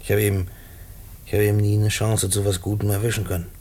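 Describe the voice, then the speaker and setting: Man acting a husky, low-voiced, despairing convict. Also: level tone, warm tone, natural voice. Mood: despairing, agitated. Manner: colloquial, halting, repeating himself when agitated. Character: gruff, despairing convict writing letters to his mother. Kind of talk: German radio play acting.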